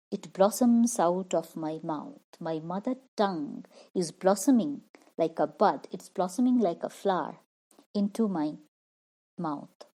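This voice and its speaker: A woman's voice: medium pitch, warm tone. A woman explaining a poem line by line as a literature teacher, repeating and rephrasing each point.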